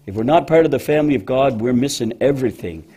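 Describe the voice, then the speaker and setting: Motherly female cow puppet, acted by a man, deep, husky, dark tone, patient, hesitant, children's show. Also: lively intonation, quick pace. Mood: patient, explaining.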